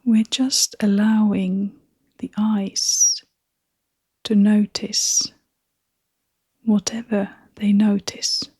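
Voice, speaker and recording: Female meditation guide: hushed and breathy, level intonation, unhurried short phrases with long gaps, very dry close recording. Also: mid-range voice, little pitch movement, no room sound. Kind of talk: guided meditation, soft-spoken.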